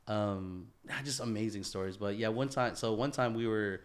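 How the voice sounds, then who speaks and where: A man chatting casually as a podcast host, telling stories in an animated, slangy way and swearing freely. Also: lowish voice, moderate pace, crowd noise. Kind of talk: casual chat, storytelling.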